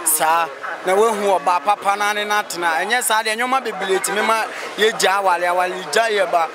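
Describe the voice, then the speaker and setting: A man's voice: high-pitched, fairly quick. A man with a Ghanaian accent giving his opinions casually in a street interview. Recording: street recording with crowd and traffic.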